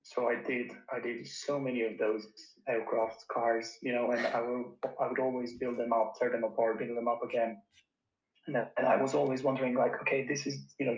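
A man's voice: medium pitch, echoey room, rough recording. A young man from Romania in his twenties, an engineer answering interview questions in a thoughtful, hesitant way with many fillers and restarts. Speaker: engineer guest.

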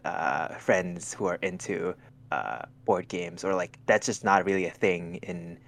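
Young adult man from Thailand, very low voice; halting casual guest, lots of restarts. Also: gravelly, fairly bright tone. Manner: hesitant, many restarts and "like" fillers. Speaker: podcast guest. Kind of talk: casual chat over a call.

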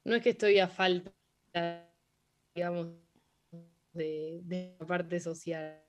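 A woman's voice: on the low side, fairly even intonation, slow pace, faint music behind. A young woman with an Argentine accent answering interview questions casually, with many fillers and restarts. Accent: Argentine Spanish. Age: young adult. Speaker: interviewee, hockey player.

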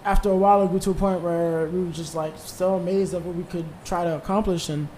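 A man's voice: high-pitched, fairly even intonation, fast talker, a little mellow, slight background noise, low-quality recording. A man in his twenties, a band leader, telling stories in a rambling, easygoing way with many fillers. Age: 20s. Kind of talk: casual interview chat.

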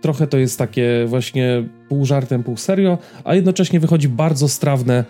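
A man's voice: mid-range voice, normal speed, mellow, faint music behind. A man giving a casual podcast-style film review as a co-host, searching for words and checking in with his listener.

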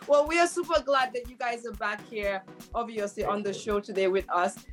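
A woman hosting a casual talk show with lively, teasing, playful banter. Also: a little high, fairly quick, faint music behind.

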